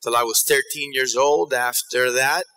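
A man in his forties from New York and Ecuador giving a conference presentation in an informal, halting way, often repeating words. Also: medium pitch, steady tone, sharp tone. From South Bronx, New York and Ecuador. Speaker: keynote speaker. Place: auditorium stage at a podium.

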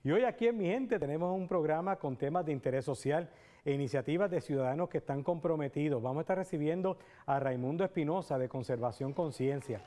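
A middle-aged priest hosting a TV studio interview, asking chatty questions with restarts. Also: male voice, a little high, quick pace, mellow. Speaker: priest and TV host. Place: TV studio set. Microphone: lapel mic.

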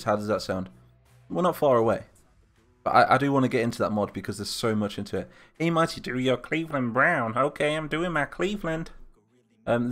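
A man with a Lincolnshire English accent, casually chatting and reading out viewer comments during a live stream while building a game map. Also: medium pitch. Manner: chatty, rambling, reads chat comments aloud.

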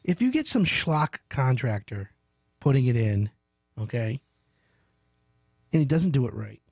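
Middle-aged male radio host, playful and emphatic, close-miked in a small studio; lively, mid-high voice, dry sound. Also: unhurried, no echo. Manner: animated, emphatic, playful storytelling. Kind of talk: radio call-in interview. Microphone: large studio mic up close.

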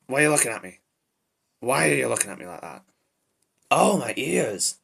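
A man voicing a short-tempered demon devil with bat-wing ears in amateur character acting, with a raspy voice and an irritable, flustered, blurting manner. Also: mid-range voice, big pitch swings, normal speed, a bit bright, full character voice. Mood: joking. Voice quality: raspy, gravelly.

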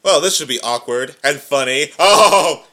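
A young man giving casual, jokey and excitable reaction commentary from his couch. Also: a little high, measured pace. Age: young adult. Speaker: reaction video host. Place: living room on a couch. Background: audio drama playing with faint music.

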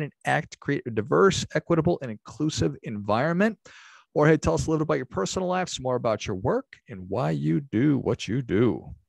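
A man's voice: mid-range voice, gravelly, dry recording. An American man in his forties hosting a conversational interview on a podcast, speaking close to the microphone. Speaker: podcast host. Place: indoor room with bookshelves, video call. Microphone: close desk microphone on boom arm.

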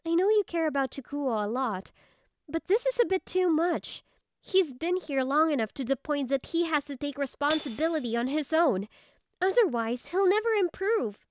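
A woman's voice: sits high, expressive, normal speed, no echo. An actress playing a firm, protective young female office senior in a manga story dub, assertive and plain-spoken.

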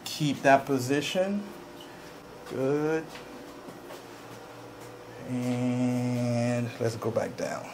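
A man working as a chiropractor, giving calm hands-on instructions with short repeated reassurances in a small treatment room. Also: mid-range voice, extremely slow, creaky, pauses often, noisy background.

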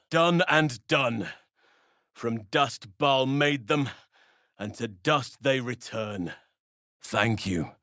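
An actor playing a gentle, earnest druid and guardian of children in a fantasy game, speaking in formal, measured, courtly phrases. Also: male voice, lowish voice, dry recording, studio quality. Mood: grave, then warm, amused.

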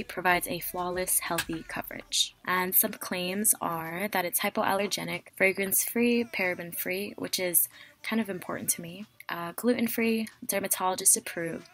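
A young woman in her twenties, slightly hoarse from a cough, chatting casually through a product review into a close webcam in a small bedroom. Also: on the low side, fairly bright tone. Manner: chatty, fillers like "like". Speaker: beauty vlogger. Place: small dim bedroom, indoors. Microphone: webcam at close range.

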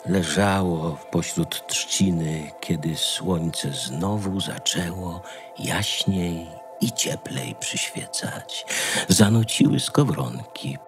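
A man narrating a fairy tale in a theatrical, expressive storytelling manner. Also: sits low, takes it slowly, over music.

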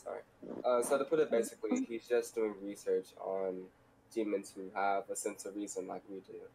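A man playing a calm, reasonable demon in a game roleplay, explaining things in a low-key way. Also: normal speed, a little hiss or hum, close to an everyday voice, poor audio. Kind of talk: improvised game roleplay dialogue. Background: background music.

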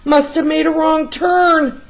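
A woman's voice: sits high, fairly even intonation, slow pace, voice pushed up. A woman voicing a plucky, playful male dog artist hero from a storybook, with theatrical delivery. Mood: sheepish, hesitant. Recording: slightly muffled, lo-fi sound.

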